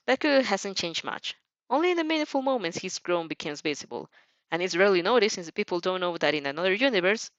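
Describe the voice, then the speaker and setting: Lady reading fanfic out loud, really lively, trips on words, dull lo-fi mic. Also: mid-range voice, no room sound. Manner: animated, stumbling and repeating words. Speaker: fan fiction narrator. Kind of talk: expressive amateur audiobook reading.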